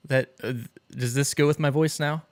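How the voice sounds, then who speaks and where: A chatty man hosting a podcast, his voice hoarse and a little rough after coughing all night. He talks in a rambling, friendly way at a middle pitch and laughs easily. Faint music plays under him. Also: steady tone, normal speed. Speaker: podcast host. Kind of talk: casual podcast chat.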